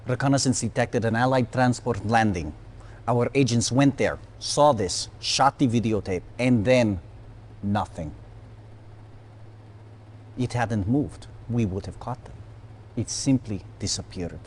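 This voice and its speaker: An actor as grave Soviet intelligence officer, clipped. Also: male voice, mid-range voice, measured pace, long pauses, a little mellow, a little hiss or hum, natural voice. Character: terse, serious Soviet intelligence officer giving briefing. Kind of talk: 1990s game cutscene acting.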